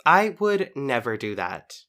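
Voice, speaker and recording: Sulky, melodramatic fired playwright. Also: male voice, mid-range voice, very animated, takes it slowly, bright, forceful tone, exaggerated voice. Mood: sulky, petulant. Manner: petulant, melodramatic. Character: sulky, dramatic fired superhero playwright, male. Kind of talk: audio drama dialogue.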